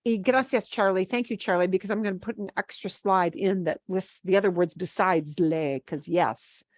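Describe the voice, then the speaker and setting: A woman's voice: mid-range voice, normal speed, no room sound. A woman Spanish teacher with a Midwestern American accent, patiently explaining a lesson over a video call with fillers and restarts. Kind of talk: online class lesson.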